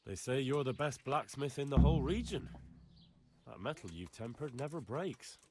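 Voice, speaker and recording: A man's voice: medium pitch, lively intonation, normal speed, background noise, close to an everyday voice. Earnest young medieval lad played by a man. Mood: curious, earnest. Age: young adult. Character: earnest, polite young medieval blacksmith's son, inquisitive and plain-spoken. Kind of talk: video game voice acting.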